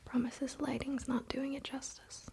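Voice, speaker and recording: A woman whispering breathily and softly in an unhurried, casual, chatty ASMR style, close to the microphone. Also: sits high, flat delivery, vocal fry. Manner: unhurried, soft-spoken, informal. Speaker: ASMR creator and crystal seller.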